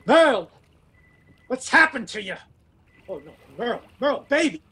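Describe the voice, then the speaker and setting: A man playing an excitable, eccentric old fellow in a radio detective drama, blustery and melodramatic, with old-fashioned exclamations. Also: very high voice, moderate pace, husky, heavily acted. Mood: distraught. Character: excitable, eccentric old showman doting on his chimp. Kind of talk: 1940s radio detective acting.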